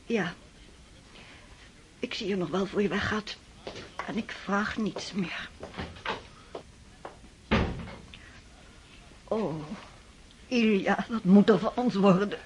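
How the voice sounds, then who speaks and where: A woman's voice: mid-range voice, slow, pauses often, background noise, natural voice. An actress playing a proud, anxious middle-aged mother who reproaches her son. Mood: weary. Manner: bitter and reproachful. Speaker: actress in a radio play. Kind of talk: radio drama acting.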